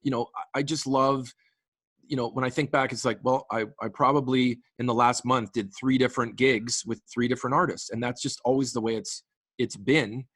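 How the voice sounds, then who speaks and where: A man's voice: mid-range voice, steady tone, normal speed, a bit bright, breathy edge, dry recording. A man in his late forties from the Canadian prairies, chatting as a guest musician in a casual interview, enthusiastic and rambling with frequent restarts. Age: late 40s.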